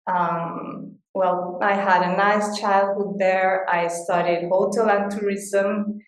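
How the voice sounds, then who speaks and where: Middle-aged woman guest, unhurried and hesitant, very echoey room. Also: medium pitch, flat delivery. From Mexico. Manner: hesitant, pausing with many fillers and soft laughs. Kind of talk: casual interview chat.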